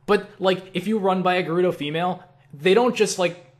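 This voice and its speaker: Young adult male, slightly high voice, casual Q&A rambler, opinionated and sweary, thinks aloud. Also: fairly quick. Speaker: online video creator answering viewer questions. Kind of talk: casual rambling Q&A chat about video games.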